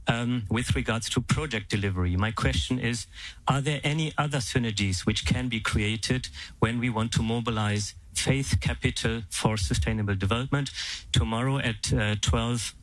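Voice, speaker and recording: A man putting a formal, careful question from the audience at a town hall, with some hesitation. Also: lowish voice. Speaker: audience questioner, organization official.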